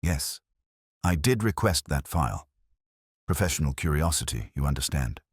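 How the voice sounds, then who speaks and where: Man voicing an evasive, very deep-voiced professional gentleman. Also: lively intonation, normal speed, slightly rough, no echo, clean studio sound, heavily acted. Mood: guarded. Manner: polite, guarded, defensive. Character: evasive, smooth male professional under suspicion, uneasy. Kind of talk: audiobook detective story dialogue.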